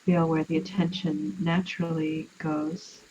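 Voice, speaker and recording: Low, mellow woman guiding meditation. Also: flat delivery. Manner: unhurried, soothing, with long pauses. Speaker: guided meditation teacher. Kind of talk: guided relaxation meditation. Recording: muffled, with clear background noise.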